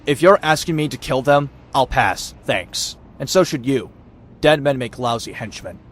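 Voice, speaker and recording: Casting: male actor as tough young comic-book antihero, lively dry delivery, cocky wisecracks, reverberant sound. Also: mid-range voice, normal speed, a little hiss or hum, lightly acted. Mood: disapproving. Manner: wry, wisecracking, dry asides. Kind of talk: motion comic dialogue and first-person narration.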